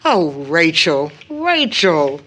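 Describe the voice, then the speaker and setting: A woman's voice: deep voice, sing-song, very slow, a bit bright, exaggerated voice. An actress playing a loving, careworn mother in a stage-play audio drama, with a tender, theatrical delivery. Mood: sorrowful.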